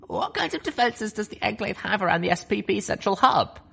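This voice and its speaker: Small anxious unicorn mare, man reading, very high, altered tone. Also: sing-song, fairly quick, creaky, sharp tone, breathy edge, exaggerated voice. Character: small young female unicorn pony, anxious wanderer.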